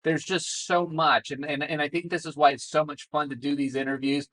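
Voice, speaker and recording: A man's voice: a little high, little pitch movement, fairly quick, fairly bright tone. Encouraging male interview host. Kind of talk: casual interview.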